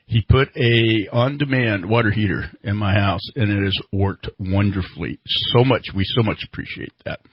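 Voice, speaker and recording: A male radio host with a husky, rough-edged voice at a middle pitch. He talks conversationally into a studio microphone and leans on repeated words. The sound is dry and a little dull. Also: normal speed, few pauses, no room sound. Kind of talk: live talk radio hosting with sponsor reads.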